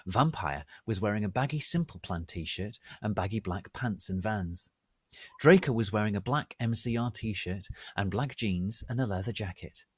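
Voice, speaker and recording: A man narrating fan fiction as a theatrical, deliberate dramatic reading. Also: fairly low pitch, lively intonation, breathy edge, dry recording, studio quality.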